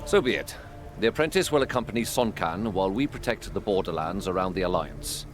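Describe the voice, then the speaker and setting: Very deep, grave veteran hunter. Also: male voice, animated, over music. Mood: firm, resolved. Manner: formal, measured. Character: grave, dutiful male veteran dragon hunter. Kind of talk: dramatic fantasy game acting.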